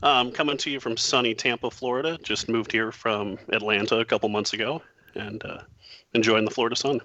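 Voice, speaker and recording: Quick, bright-voiced easygoing man. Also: mid-range voice, fairly even intonation, dull audio. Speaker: quiz contestant. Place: Tampa.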